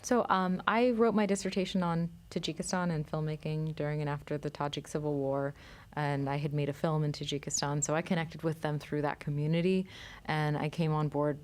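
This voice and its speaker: A woman filmmaker, a guest in a studio interview, explaining her work in a measured way with frequent pauses and ums. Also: deep voice, fairly even intonation.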